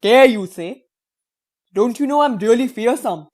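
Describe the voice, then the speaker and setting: A woman's voice: fairly high pitch, expressive, measured pace, a little mellow. A woman playing a fearsome but weary hidden male ruler in an audio play, brooding and theatrical. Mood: menacing. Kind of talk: stage-like English audio play acting.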